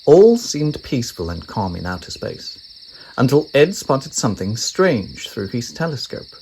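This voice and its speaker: A man reading a children's picture book aloud, narrating in an animated, expressive way. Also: medium pitch, unhurried, slight background noise, low-quality recording. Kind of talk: read-aloud storytelling.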